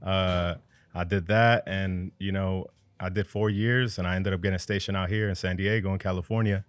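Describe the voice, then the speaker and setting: A man from New Jersey in his thirties, a barber telling his story as a podcast guest in relaxed slang, often adding "you know what I mean". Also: low voice, moderate pace, no echo. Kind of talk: casual storytelling.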